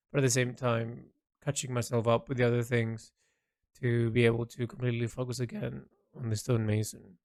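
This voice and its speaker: A man recording an unscripted, reflective personal audio log, halting and rambling as he thinks aloud. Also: medium pitch, dry recording, studio quality.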